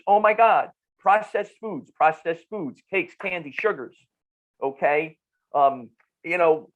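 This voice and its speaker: A middle-aged man with a New Jersey accent talks in a conversational, explanatory way. His voice sits a little high, and he drives points home with repeats and rhetorical questions. The recording is somewhat dull and lo-fi. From northern New Jersey, United States. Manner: emphatic, repeats phrases and asks rhetorical questions. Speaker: nutrition clinician, podcast guest.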